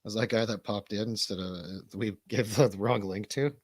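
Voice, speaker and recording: Low-voiced man bantering on a livestream. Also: fairly even intonation, normal speed, slightly rough, dry recording, clean studio sound. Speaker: livestream host.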